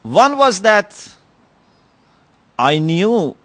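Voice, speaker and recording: Man w/ giving a lecture, slightly high voice, slow and thoughtful, lots of "you know". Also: big pitch swings. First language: Persian. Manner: measured, reflective, with many pauses and "you know" fillers. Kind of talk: religious lecture. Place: lecture hall.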